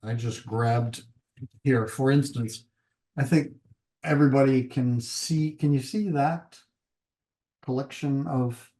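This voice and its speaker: An older man from Italy lectures over a video call in a measured, halting way, often restarting his sentences. His voice is mellow and dark, sitting in a middle pitch. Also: steady tone. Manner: measured, with frequent restarts and fillers. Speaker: guest lecturer. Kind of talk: webinar lecture.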